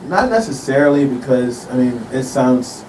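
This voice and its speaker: A man's voice: medium pitch, sing-song, moderate pace, a little mellow, recorded from a distance. A man in his forties, a guest casually chatting and recounting things in an interview. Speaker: musician guest. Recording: roomy, off-mic, some background noise.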